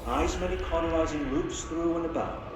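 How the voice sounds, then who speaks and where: An actor voicing a strange captive being in a video game, speaking in cryptic, disjointed phrases. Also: male voice, fairly high pitch, moderate pace, noisy background, natural voice. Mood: cryptic, odd. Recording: roomy echo, music behind.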